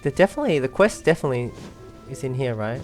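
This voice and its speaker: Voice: mid-range voice, sing-song, quick pace, darkish tone, soft background music. A gamer giving casual commentary while playing, talking to themselves and viewers with frequent exclamations. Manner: talks to self and viewers, exclaims, giggles often. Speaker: let's play video host.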